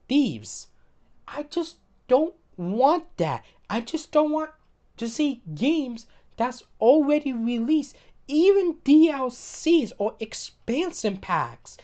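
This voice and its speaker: Opinionated woman with a hoarse voice. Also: sits high, a little mellow. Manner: opinionated, repeats and restarts words. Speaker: gaming video host. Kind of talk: casual gaming commentary.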